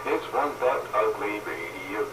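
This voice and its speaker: An echoey, very noisy two-way radio signal carries a man hosting an informal roundup. His voice sits on the low side and sounds set back from the microphone. He talks casually, like a hobbyist on the air. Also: animated, a little slow, recorded from a distance. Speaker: radio roundup net host. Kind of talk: casual ham and CB radio chat.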